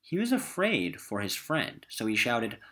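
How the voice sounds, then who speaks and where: A man's voice: mid-range voice, huge pitch swings, measured pace, pushed and bright. A man with an American accent teaching a recorded literature lesson in an emphatic, informal classroom style.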